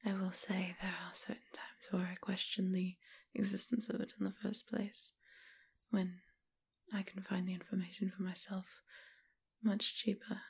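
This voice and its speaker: A woman playing a doting, teasing, refined vampire mistress in an intimate ASMR roleplay, whispery and husky. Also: mid-range voice, warm tone. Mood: wry, refined.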